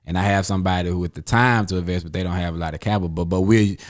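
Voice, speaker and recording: A man's voice: sits low, fairly even intonation, racing. A man, rambling loosely through a casual chat with friends as the host. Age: 30s. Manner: rambling, loose, uses slang and fillers. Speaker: podcast host.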